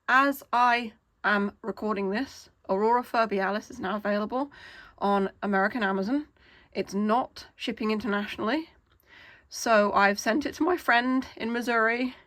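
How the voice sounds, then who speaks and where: Hoarse woman, gravelly voice, casual unboxing chat, middle pitch. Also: a bit bright, dry recording. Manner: chatty, with frequent "um" fillers. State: hoarse from a cold. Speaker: toy unboxing channel host.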